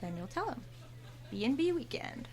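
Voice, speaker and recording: A self-assured, sly cashier around thirty in a horror radio play. Her voice is a touch high, and she delivers everything with breezy, sardonic confidence. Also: sing-song, normal speed, darkish tone. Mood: scheming, sly. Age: 30s. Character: brazen, entitled thirty-year-old store cashier, sly confidante. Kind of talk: horror audio drama dialogue.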